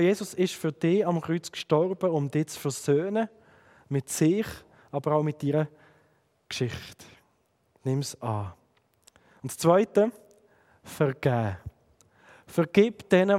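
A man in his thirties with a Swiss German accent from the Sense district of Fribourg, preaching an earnest sermon that drops to quiet emphasis. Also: a little high, in a large hall. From Switzerland.

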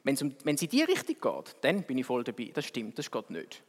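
A man with a Swiss German accent, preaching a sermon in a conversational, wry way that swings between quick and slow delivery. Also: medium pitch, huge pitch swings. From Switzerland. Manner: conversational, wry, speeds up and slows down for emphasis. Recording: long reverb of a hall.

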